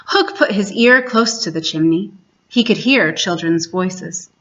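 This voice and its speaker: A woman storyteller reading a children's bedtime story aloud in a measured, expressive way with dramatic emphasis. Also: mid-range voice, muffled recording. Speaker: library storyteller, host.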